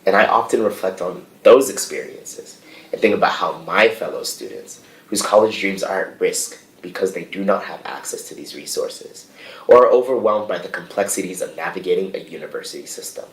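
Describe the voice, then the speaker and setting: A young man from the United States giving a prepared, formal speech as a student leader, in a measured, earnest manner. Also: low voice, expressive. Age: young adult. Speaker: student association president. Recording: distant, echoey remote audio.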